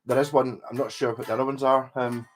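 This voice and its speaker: A man's voice: medium pitch, little pitch movement, fast talker. A man hosting a livestream in casual chat, rambling and correcting himself as he reads viewer comments and greets people by name. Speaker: livestream host talking guitars with chat.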